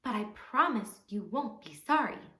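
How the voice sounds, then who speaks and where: A woman's voice: a little high, animated, normal speed, fairly bright tone. A woman voicing a tiny, timid female mouse, polite and pleading, in a children's fable. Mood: earnest. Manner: earnest, pleading. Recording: echoey room.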